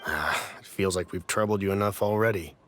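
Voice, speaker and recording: A man voicing a kindly, courteous older uncle in a video game, speaking in a polite, avuncular, measured way. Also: low voice, a bit bright, slight background noise. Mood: obliging. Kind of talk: animated video game voice acting.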